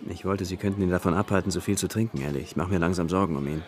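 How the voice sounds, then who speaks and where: A man's voice: low voice, very fast, lightly acted. A man playing a friendly, slightly wistful young newspaperman in a radio drama, casual and sociable. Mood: wistful, easy. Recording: music behind the voice.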